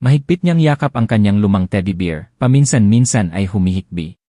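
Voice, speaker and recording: A man narrating a romance audiobook with dramatic, expressive delivery. His voice sits low and mellow, with animated swings in pitch. The recording is close and dry. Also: a little fast, no room sound. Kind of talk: scripted narration.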